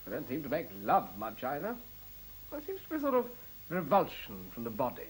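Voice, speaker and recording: A self-assured middle-aged scientist in a futuristic radio play. He is wry and matter-of-fact, yet his pitch moves around a lot. A faint hiss lies under the recording. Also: wildly swooping pitch, fairly quick, rough recording. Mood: wry, confident.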